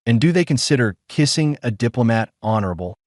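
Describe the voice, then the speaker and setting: A man voicing a gruff, sarcastic human mercenary pilot. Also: mid-range voice, expressive, fairly quick, a little mellow, dry recording, clean studio sound, lightly acted. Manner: sarcastic, gritty.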